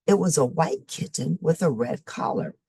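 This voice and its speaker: Woman reading a kids story, deep for a lady, super expressive, dry close sound. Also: normal speed, husky, dry recording. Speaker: storybook reader. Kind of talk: expressive children's story narration.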